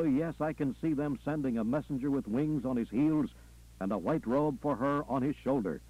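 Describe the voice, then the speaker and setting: A fervent preacher acted out by a man in full sermon flight. He chants in folksy, repeated phrases with punctuating exclamations at a medium male pitch. The sound is dull and lo-fi, like an old radio. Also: dry recording, close to an everyday voice. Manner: folksy, rhythmic repetition with punctuating "aha" and "ah, well". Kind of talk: call-and-response funeral sermon.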